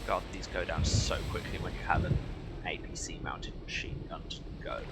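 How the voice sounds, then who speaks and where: A man's voice: sits low, moderate pace, gravelly, very noisy. A man giving casual gameplay commentary, rambling and joking wryly to himself as he plays. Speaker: let's play host. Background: game audio and music.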